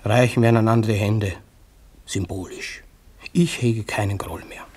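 An actor playing a pompous, sanctimonious busybody in a radio play, unctuous and declamatory. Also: male voice, lowish voice, fairly even intonation, a little slow, darkish tone, husky. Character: pompous, sanctimonious petty-bourgeois eavesdropper, meddling apologist. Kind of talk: German radio play acting.

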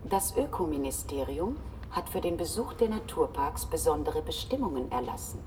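Courteous female service machine, low voice with lively swoops, formal set phrases, radio play. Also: normal speed, recorded from a distance, slight background noise. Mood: courteous, unyielding. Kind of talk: German science-fiction radio drama acting.

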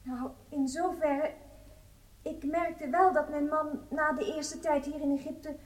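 An actress in a radio drama playing a nervous, evasive wife on trial, speaking hesitantly and deferentially. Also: female voice, high-pitched, fairly even intonation, slow, rough recording. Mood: uneasy, defensive.

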